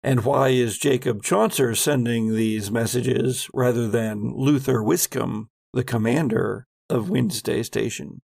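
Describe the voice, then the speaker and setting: Slow, gravelly man narrating a horror game. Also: mid-range voice, a little mellow, dry recording, clean studio sound. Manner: measured, theatrical storytelling. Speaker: game master and podcast host. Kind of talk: dramatic recap narration of a tabletop horror game.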